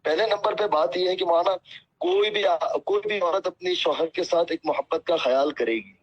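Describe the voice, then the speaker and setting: A man's voice: high voice, moderate pace. A man on a phone call, speaking humbly and earnestly, apologizing and asking at length for patience. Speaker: cleric answering a phone call. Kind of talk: phone conversation. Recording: muffled lo-fi phone call recording.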